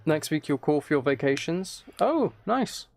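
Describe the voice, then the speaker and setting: A very tired man chatting casually and quietly on a game livestream, rambling and slightly mumbled in a soft ASMR manner. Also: a little high, big pitch swings, normal speed, vocal fry. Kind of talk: casual gaming stream chat, ASMR.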